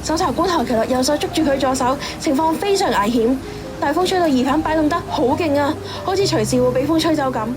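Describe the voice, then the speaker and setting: Woman acting high-pitched urgent Cantonese reporter. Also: quick pace, over music, natural voice. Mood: alarmed, urgent. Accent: Hong Kong Cantonese. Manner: urgent running commentary. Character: excited female live news reporter at a disaster. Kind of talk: radio drama news report.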